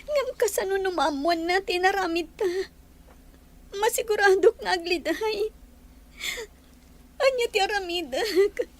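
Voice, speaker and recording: A woman's voice: very high-pitched, unhurried, pauses often. An actress playing an earnest, emotional young woman in love in a melodramatic radio drama. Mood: worried, tearful.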